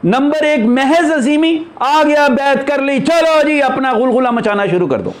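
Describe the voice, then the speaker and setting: Male spiritual teacher, distinctly high-pitched. Informal sermon delivery, explanatory and emphatic. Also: expressive, measured pace. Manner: emphatic, explanatory, with rhetorical questions. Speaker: spiritual teacher answering followers' questions. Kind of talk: informal religious discourse.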